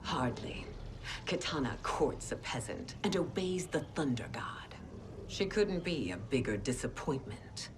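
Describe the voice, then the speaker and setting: A woman voicing a proud, scheming queen in a video game cutscene, speaking in a low, breathy whisper. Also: animated, measured pace. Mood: scornful.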